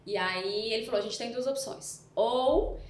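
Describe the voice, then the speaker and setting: A woman with a softened Mineiro accent tells her story in a casual, wandering way, often correcting herself as she goes. She is recorded in an echoey room, with a faint ambience behind her. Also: fairly high pitch, unhurried, a little hiss or hum. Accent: Mineiro Brazilian Portuguese, softened. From Minas Gerais, Brazil. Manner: rambling, self-correcting storyteller. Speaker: guest, entrepreneur. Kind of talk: casual podcast chat.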